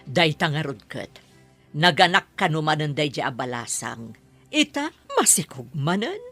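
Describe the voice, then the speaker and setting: A woman playing a sympathetic, wistful middle-aged landlady with a husky voice in an Ilocano drama. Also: low-pitched, very animated, unhurried, fairly bright tone, soft background music, heavily acted. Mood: concerned. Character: motherly landlady, sympathetic, wistful, longing for a child. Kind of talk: Ilocano radio-style comedy drama acting.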